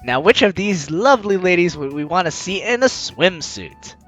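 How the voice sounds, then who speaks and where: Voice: medium pitch, expressive, normal speed, a bit bright, muffled recording. A let's player giving jokey, teasing commentary and reading a game's story aloud, with game music behind. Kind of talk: let's play commentary and read-aloud narration.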